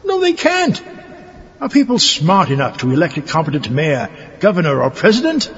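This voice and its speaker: A man's voice: a little high, huge pitch swings, moderate pace, a bit bright, a little hiss or hum, heavily acted. A man playing a pompous, gleeful megalomaniac scientist villain giving a theatrical audio-drama speech. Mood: grandiose. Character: gleeful megalomaniac mad scientist villain seeking world domination. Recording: muffled, long hall reverb, crowd behind.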